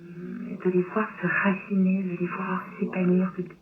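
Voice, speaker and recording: A woman's voice: completely flat delivery, normal speed. A woman from Romania, native in Romanian, talking reflectively and hesitantly as a guest in an interview. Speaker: novelist, radio guest. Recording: tinny low-bitrate shortwave radio, clear noise.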